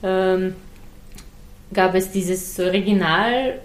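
A woman's voice: medium pitch, steady tone, takes it slowly, echoey room. A woman whose first language is Serbian, a novelist guest speaking in a hesitant, thoughtful way with many fillers in a casual conversation.

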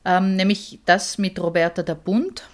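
A woman host with a somewhat low voice introduces the episode. Her reading is steady and informative, with only a few fillers. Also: measured pace. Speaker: podcast host, narrator. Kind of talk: scripted introduction.

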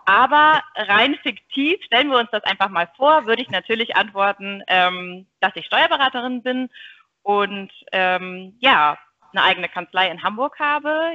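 A woman's voice: medium pitch, sharp tone, dry recording, lo-fi sound. A woman in her thirties, a bilingual native signer, answering podcast interview questions with frequent hesitations. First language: German Sign Language. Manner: hesitant, many filler sounds. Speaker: tax advisor guest.

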